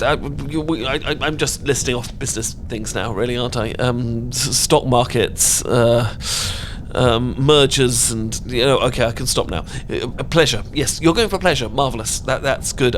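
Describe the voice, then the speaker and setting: A man voicing a bookish British man who trips over his own words, repeating himself and rambling whenever he gets nervous. His voice sits at an ordinary pitch for a man, in an intimate audio romance with a faint hiss behind it. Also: measured pace, natural voice. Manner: stammering, rambling, flustered. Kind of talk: ASMR romance roleplay.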